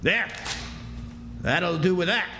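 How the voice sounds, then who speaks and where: A man's voice: high voice, slow pace, vocal fry, full character voice. An actor playing a sneering, greedy old backwoods father who scolds in a folksy rustic drawl, in live radio drama style. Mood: mocking. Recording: echoey hall, live stage, hissy background.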